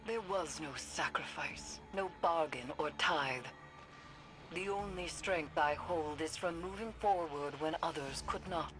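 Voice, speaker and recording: A woman playing a solemn, haunted mystic in a video game, speaking gravely and portentously. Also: low voice, measured pace, over music, poor audio. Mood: solemn resolve. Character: solemn, haunted mystic woman who endured great loss. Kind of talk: dramatic video game acting. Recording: clear ambient noise behind.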